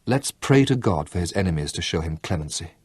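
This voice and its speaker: Man voicing a devout old mother, fervent, husky, dry. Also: deep voice, expressive, fairly quick, no echo. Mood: hopeful.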